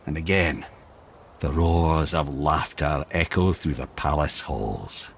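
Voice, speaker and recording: An actor playing a wise, wry old talking head with a Scottish accent, telling tales theatrically in a video game. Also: male voice, extremely low pitch, slow, full character voice. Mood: wry, dramatic. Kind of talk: dramatic storytelling in a video game. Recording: game audio with clear water and boat noise.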